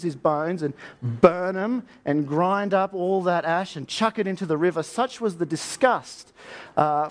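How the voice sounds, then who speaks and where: A man's voice: on the high side, a little mellow. A man giving a conversational, lecture-like sermon in an informal, colloquial storytelling way, often posing rhetorical questions. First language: English. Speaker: preacher teaching on Bible history.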